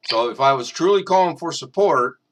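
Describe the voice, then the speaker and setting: A man's voice: a little high, animated, brisk. A man hosting a casual chat on a video call, chatty and joking. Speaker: show host.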